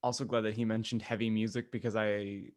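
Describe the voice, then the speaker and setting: A man co-hosting a podcast in casual chat, speaking haltingly as he thinks aloud. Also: fairly low pitch, little pitch movement. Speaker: podcast co-host.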